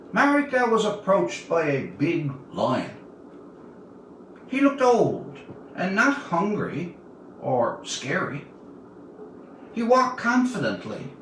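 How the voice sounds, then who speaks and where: A man of about seventy from Newfoundland, Canada, reading his own tale aloud as a storyteller, with deliberate, dramatic pauses. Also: a little high, a bit bright. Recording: roomy, distant mic, faint background noise.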